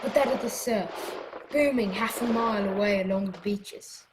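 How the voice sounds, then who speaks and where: A woman playing an excitable boy adventurer, narrating a radio drama with dramatic storytelling. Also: mid-range voice, moderate pace, noisy background, natural voice. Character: excitable boy adventurer telling his tale.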